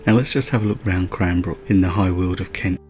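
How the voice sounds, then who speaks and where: A man's voice: low-pitched, fairly quick, darkish tone, faint music behind. A man narrating a countryside road trip as a voice-over, with light chatty asides. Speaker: travel vlogger. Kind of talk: scripted travel narration.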